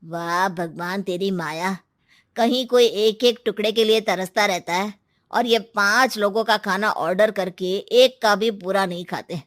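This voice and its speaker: A woman's voice: medium pitch, measured pace, dry recording, studio quality, natural voice. A woman voicing a humble, kind-hearted waiter with a wry streak in a cartoon moral story.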